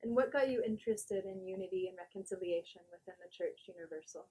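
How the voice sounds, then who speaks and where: A woman interviewer speaks off camera in a mellow, warm tone. She asks her questions softly and sounds encouraging. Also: lowish voice, fast talker, slightly rough, rough recording.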